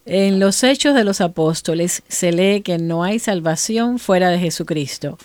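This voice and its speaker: A woman's voice: on the low side, measured pace, darkish tone, no room sound. A woman from Cuba, a radio co-host, cheerfully reading a listener's question aloud. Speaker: radio co-host, news reader.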